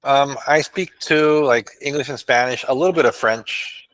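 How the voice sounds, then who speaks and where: A man's voice: mid-range voice, normal speed, a bit bright, rough recording. A man from Canada chatting casually as a guest, easygoing, often saying "like" and restarting his sentences. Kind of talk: casual chat.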